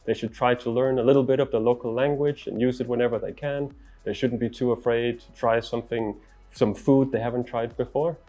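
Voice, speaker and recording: A middle-aged man from Denmark with a Danish accent, giving interview answers as a traveller in a measured, articulate, earnest way. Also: mid-range voice, steady tone, mellow, faint music behind.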